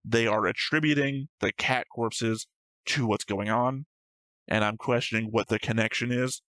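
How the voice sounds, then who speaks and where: A man's voice: mid-range voice, fairly even intonation, moderate pace, a bit bright, dry recording, rough recording. A man hosting a reaction show, reading out notes and discussing them casually in a matter-of-fact, slightly pedantic way.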